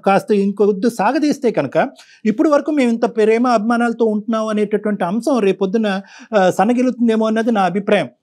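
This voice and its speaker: A middle-aged man speaks in a high voice at a rapid pace. He counsels persuasively, circling back to his points for emphasis. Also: a little mellow. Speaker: counsellor on a talk show. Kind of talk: counselling talk.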